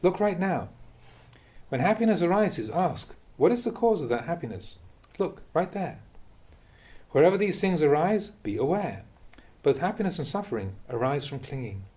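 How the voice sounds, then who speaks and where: Mellow, expressive man reading a dharma talk. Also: fairly high pitch, normal speed. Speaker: Buddhist monk reading teachings aloud. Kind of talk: read-aloud dharma talk.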